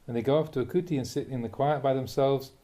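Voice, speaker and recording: A man, a Buddhist monk, reads a translated spiritual talk aloud in a measured, emphatic way, posing rhetorical questions. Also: medium pitch, fairly even intonation, mellow. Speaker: Buddhist monk reading a teacher's talks aloud. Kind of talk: reading a translated Dharma talk aloud, with brief asides.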